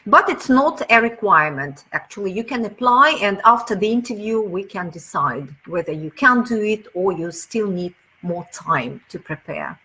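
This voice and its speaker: A woman's voice: mid-range voice, expressive, moderate pace, few pauses, bright, forceful tone, husky, poor audio. A woman with a Russian accent, a webinar speaker answering audience questions in a thoughtful, explanatory way over a video call. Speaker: webinar speaker, teacher trainer.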